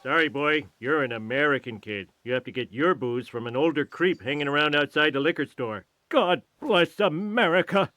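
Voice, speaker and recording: A man's voice: fairly high pitch, normal speed, hardly any pauses, pushed and bright, dry recording. An actor playing a boorish, dim-witted cartoon father in a game cutscene, sounding a bit distant. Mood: grumbling.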